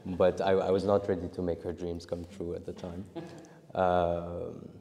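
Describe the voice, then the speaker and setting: A man's voice: low-pitched, completely flat delivery, a little slow, warm tone, in a large hall. A young man answering interview questions about his film, rambling and restarting often as he talks. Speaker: interview guest, filmmaker.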